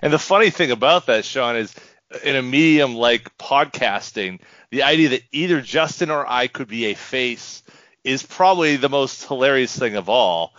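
A man's voice: mid-range voice, expressive, normal speed, fairly bright tone, no room sound. In dry, slightly lo-fi audio, a man with a mid-range voice chats as a podcast co-host. His manner is wry, and he leans on comic exaggeration. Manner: wry, hyperbolic joking. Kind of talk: casual sports podcast chat.

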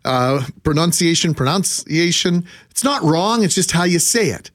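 A man's voice: on the high side, expressive, moderate pace. A Canadian man co-hosting a radio show with casual, playfully grandiose and emphatic banter. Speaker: radio co-host.

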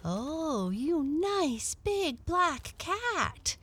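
A woman's voice: sits high, very animated, drawn-out words, fairly bright tone, voice pushed up, heavily acted. A woman voicing a small, eager girl who coos fondly at a cat. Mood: affectionate. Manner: cooing and affectionate. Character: sweet, eager little girl.

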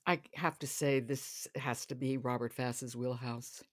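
A woman hosting a podcast in casual chat, briskly prompting her guest with questions. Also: very deep voice, fairly even intonation. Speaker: podcast host.

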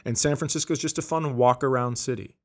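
A man's voice: medium pitch, quick pace. A middle-aged man hosting a comedy podcast in casual chat, telling stories with an amused, ready-to-laugh manner.

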